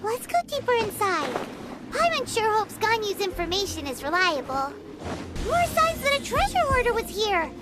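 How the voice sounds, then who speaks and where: A woman voicing a small, excitable, timid, childlike female fairy companion in an anime-style game, exclaiming as she talks. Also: extremely high pitch. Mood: eager. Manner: refers to self in third person, exclaiming. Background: game music.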